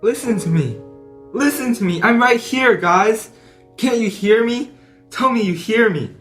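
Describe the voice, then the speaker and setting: A performer playing a young boy turned ghost in an audio drama, earnest, expressive and pleading. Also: medium pitch, a little slow, faint music behind, natural voice. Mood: frustrated, pleading.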